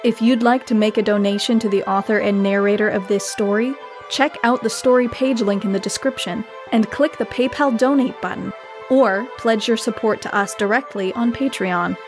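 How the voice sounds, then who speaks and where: A woman's voice: mid-range voice, normal speed, music in the background. A woman announcing a fiction podcast in a scripted intro and outro style.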